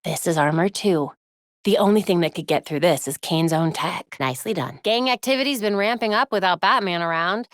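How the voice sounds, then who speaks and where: A woman's voice: lowish voice, a little fast, no room sound, lightly acted. Woman as young vigilante heroine, dry studio sound. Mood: focused. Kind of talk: video game voice acting, short scripted lines.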